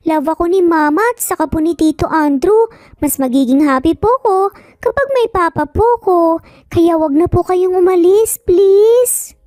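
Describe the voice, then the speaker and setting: A woman's voice: very high voice, darkish tone, dry recording, studio quality, voice pushed up. A woman voicing a small, polite, pleading young boy with a childlike Filipino-accented voice in a story drama. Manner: pleading, respectful.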